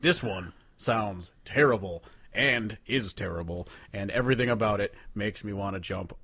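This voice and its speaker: A man's voice: fairly low pitch, normal speed, fairly bright tone, slightly rough. A man co-hosting a casual music podcast, talking in an emphatic, hyperbolic way with profanity. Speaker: podcast co-host.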